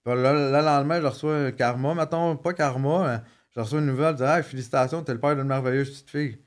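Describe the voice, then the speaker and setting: A man's voice: mid-range voice, fast talker. A man in his thirties with a Quebec French accent, a podcast guest telling personal stories in casual chat, joking and restarting often. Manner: joking, frequent restarts and fillers. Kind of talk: casual storytelling.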